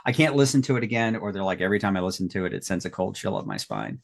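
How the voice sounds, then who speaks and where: A man's voice: sits low, wildly swooping pitch, rapid-fire, gravelly. A man in a casual panel chat, talking as the writer of an audio drama.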